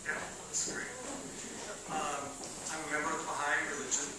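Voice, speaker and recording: A soft-spoken man speaking as a panelist at a public meeting, hesitant, with many fillers and restarts. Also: on the high side, completely flat delivery, normal speed. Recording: echoey hall from far off, noisy.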